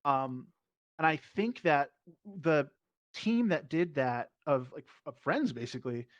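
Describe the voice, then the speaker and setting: A man speaking casually as a performer on a panel, informal and somewhat halting. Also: fairly high pitch, sing-song, no echo. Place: virtual reality event, voice over personal microphone. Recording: dull, lo-fi dry sound.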